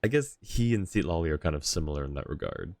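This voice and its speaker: A man's voice: extremely low pitch, lively intonation, fast, a little mellow, no room sound. A man streaming a game with casual, playful and teasing commentary.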